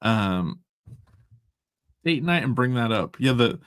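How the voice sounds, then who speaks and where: A man's voice: mid-range voice, lively intonation, takes it slowly, a bit bright, smooth, dry recording. A man with an English accent, co-hosting a casual comics chat show, swearing freely and restarting his sentences. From England. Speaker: podcast co-host.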